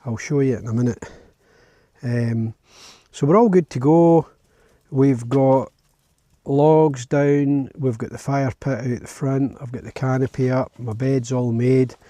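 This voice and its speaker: A man with a Scottish accent and a husky voice, chatting casually and informally to camera outdoors as a camping vlogger. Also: medium pitch, unhurried, dry recording. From Scotland. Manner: relaxed, informal, chatting as he goes. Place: outdoors at a lochside campsite.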